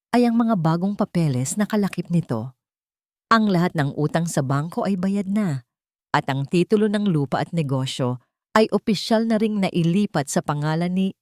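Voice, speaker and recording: A woman with a Filipino accent narrating a scripted dramatic story in a measured, earnest, sentimental way. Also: lowish voice, a little mellow, no echo, clean studio sound.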